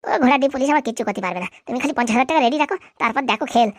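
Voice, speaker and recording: A high-voiced, boastful young crook played by a woman in a comedy cartoon. The boy rattles along very fast in brash slang, lazy and cocky, on a dry close recording. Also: no echo, natural voice.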